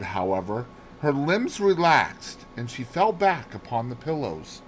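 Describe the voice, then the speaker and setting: Male narrator for classic mystery audiobook: expressive, theatrical, very varied intonation, medium register, faint noise behind. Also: mid-range voice, rough recording. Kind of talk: dramatic scripted narration of a classic mystery novel.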